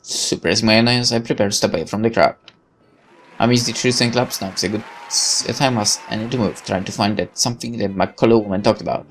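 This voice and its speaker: A man reading a visual novel's narration aloud in a wry, measured storytelling way. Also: lowish voice, rough recording. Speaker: gaming video narrator.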